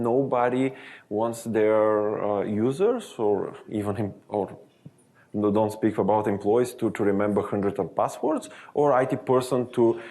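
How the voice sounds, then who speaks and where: Halting, low-voiced Eastern European man. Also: dark tone. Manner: halting, searching for words, frequent self-corrections. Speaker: software development manager presenting. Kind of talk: technical webinar presentation.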